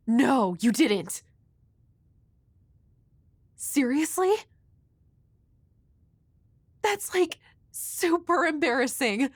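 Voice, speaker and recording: Woman acting a high-voiced, shy, flustered girl next door. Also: a bit bright, dry recording, studio quality, exaggerated voice. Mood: mortified. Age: young adult. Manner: nervous, halting, hesitant with stammers. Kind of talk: intimate audio roleplay addressed to the listener.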